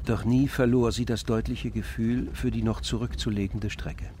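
A man's voice: mid-range voice, steady tone, normal speed, mellow, a little hiss or hum, natural voice. A man narrating a literary radio play as a composed storyteller.

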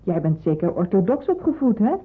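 A woman's voice: medium pitch, very animated, fairly quick, slightly rough. An actress in a Dutch radio drama playing a down-to-earth woman with no taste for philosophy, speaking in a blunt, offhand way. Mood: blunt, uninterested. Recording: muffled, phone-like radio play recording.

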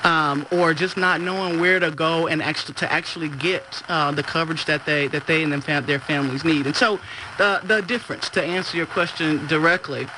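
A male campaign advisor giving an interview, speaking in a measured way with many fillers and restarts. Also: fairly high pitch, fairly even intonation, background noise. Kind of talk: on-the-street interview.

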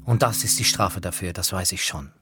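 Man, low voice, reflective reminiscence, hesitant pauses, radio documentary, clear music behind. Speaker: interviewer recalling people he interviewed.

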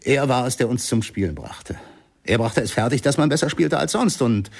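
Ein Schauspieler spielt in einem Hörspiel einen ehemaligen Bandkollegen, der rau und im Plauderton Erinnerungen erzählt. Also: male voice, mid-range voice, expressive, normal speed. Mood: admiring. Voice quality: gravelly, husky. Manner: conversational, searching for words. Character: former bandmate fondly recalling his leader. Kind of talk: radio drama witness account.

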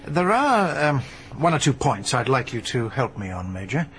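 A man's voice: mid-range voice, big pitch swings, moderate pace, a bit bright. An actor playing a polite, methodical male police inspector who questions witnesses in a radio drama. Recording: archive radio drama with light hiss.

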